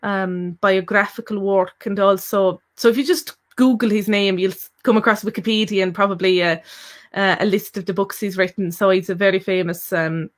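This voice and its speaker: A woman's voice: mid-range voice, steady tone, normal speed. A woman with an Irish accent co-hosting a live language-learning Q&A and reading out viewer questions, speaking hesitantly with many fillers and restarts. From Ireland.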